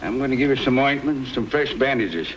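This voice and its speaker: An actor playing a brisk, kindly but scolding frontier doctor with folksy phrasing in an old-time radio Western. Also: male voice, mid-range voice, expressive, lightly acted. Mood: gruffly caring. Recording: noisy old radio drama recording.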